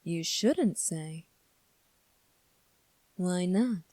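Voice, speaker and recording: A woman playing a calm, sly young prince in an ASMR roleplay, speaking in a measured, formal, teasing way. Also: fairly low pitch, dry recording, full character voice. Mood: coolly probing. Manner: measured, formal, coolly probing. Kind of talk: ASMR roleplay audio drama.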